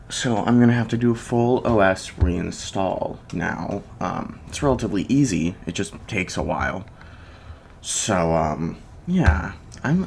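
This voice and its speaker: A soft-spoken repair technician in an ASMR roleplay, talking slowly with a creaky, gravelly edge. The murmured, hesitant step-by-step narration swings up and down in pitch. A steady fan hum and small clicks sit clearly behind the voice. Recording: constant fan noise, clicks and chair creaks. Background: computer fan hum, clicking and typing.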